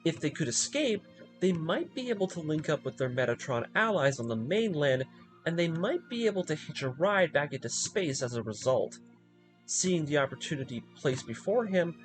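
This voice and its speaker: A man hosts a video essay, retelling a story from a script with dramatic emphasis. His voice sits a little high and moves up and down freely, with pointed pauses for weight. Faint music plays behind him. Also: sing-song. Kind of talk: scripted story recap narration with opinionated asides.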